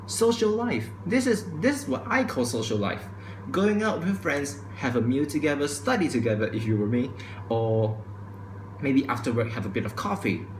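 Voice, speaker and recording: A man's voice: mid-range voice, slight background noise. Stuttering young man in echoey room. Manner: stutters and restarts, says he speaks too quickly. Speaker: student vlogger. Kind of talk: casual opinion monologue to camera. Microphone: camera microphone. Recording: echoey room with faint ambience.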